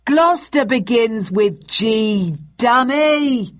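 A cheeky heckler in a storybook theatre crowd, acted by a woman narrator, bellows out calls in a raised, high voice. The delivery is loud, rowdy and stretched out, on a slightly dull recording. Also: lively intonation. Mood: mocking. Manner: shouting, high-pitched, drawn-out calls.

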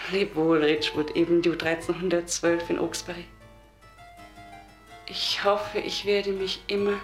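An actress playing a meek, devout, gravely ill wife in a radio drama, solemn and fervent, halting and at times dropping towards a whisper. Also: female voice, mid-range voice, close to an everyday voice.

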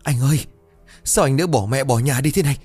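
Anguished young brother, voice pitched up and pleading, from a male storyteller. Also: a little fast, darkish tone, breathy edge, soft background music, lightly acted. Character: young man grieving his brother.

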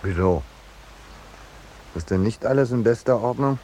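An actor playing a peaceable, unworldly landscape painter in a 1950s German radio play, drily polite and unruffled with a quiet irony. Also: male voice, medium pitch, close to an everyday voice. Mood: dry, unruffled. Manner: dry, polite, unhurried irony. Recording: noisy 1950s radio drama recording.

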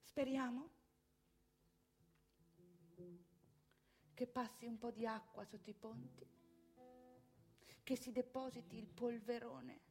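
A woman's voice: a little high, fairly even intonation, measured pace, soft background music, close to an everyday voice. An actress playing an indignant, respectable middle-class Italian mother in a theatrical monologue, emphatic and moralizing. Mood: hushed, weary. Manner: emphatic, moralizing, swinging from hushed to loud.

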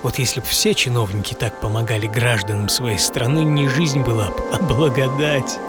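A man playing a solitary, excitable and stubborn old-time American sea captain in a first-person audio drama, with theatrical delivery. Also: medium pitch, normal speed, lightly acted. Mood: delighted. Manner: theatrical, swinging from murmur to shouting. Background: music bed.

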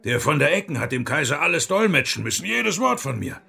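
An actor in a German radio play, playing a boisterous, defiant monk reformer with a hearty, coarse, mocking manner. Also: male voice, on the high side, very animated, brisk, vocal fry, sharp tone, husky, soft background music, exaggerated voice. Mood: scornful.

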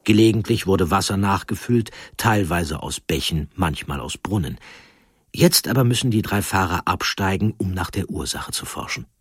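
A man with a husky voice narrating a scripted documentary story in a measured, deliberate way. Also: low-pitched, animated, no echo, studio quality.

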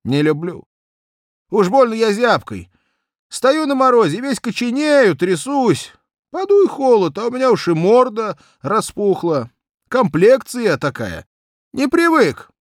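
Storybook young peasant cabman, acted by a man: pampered, rambling, speech, raised pitch with big swings. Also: big pitch swings, measured pace, no room sound, clean studio sound. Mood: complaining, talkative. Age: young adult. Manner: rambling, folksy, plaintive. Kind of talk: audiobook character acting.

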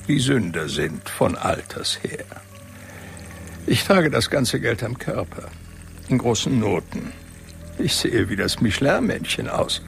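An actor playing a scheming, pompous church treasurer in a radio play, with a solemn, unctuous cadence. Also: male voice, medium pitch, vocal fry, full character voice.

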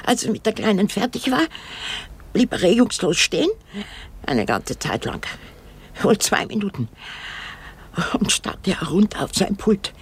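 Very husky, haunted old woman. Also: mid-range voice, big pitch swings, exaggerated voice. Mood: brooding. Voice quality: husky, breathy, creaky. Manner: halting, repetitive, brooding delivery. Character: elderly, haunted, rambling woman lost in morbid memories. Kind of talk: radio drama acting.

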